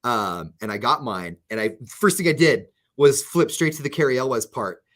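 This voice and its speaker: A man radio host in casual chat with his co-host, animated, often restarting his sentences. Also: medium pitch, normal speed.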